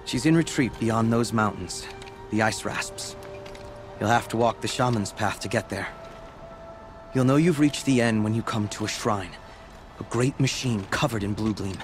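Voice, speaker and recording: An actor playing a humble, earnest apprentice shaman in a video game, speaking in a measured, solemn way. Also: lowish voice, music in the background, natural voice. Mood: solemn, guiding. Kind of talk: video game dialogue acting.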